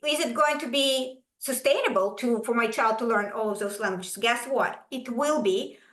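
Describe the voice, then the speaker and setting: A middle-aged woman from Ukraine with a Russian accent, a native Russian speaker, giving an animated, emphatic presentation to parents. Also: on the high side, normal speed. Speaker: curriculum presenter. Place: school event room. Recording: echoey room.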